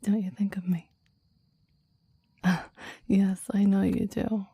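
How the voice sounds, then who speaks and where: Kadının canlandırdığı çok yumuşak, fısıldayan, sahiplenici iblis. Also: female voice, mid-range voice, flat delivery, dry recording, studio quality, exaggerated voice. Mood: yearning, adoring. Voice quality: soft, breathy whispering. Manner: slow, formal, intense and adoring. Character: possessive, devoted clingy demon bound to a summoner. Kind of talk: intimate ASMR roleplay, whispered.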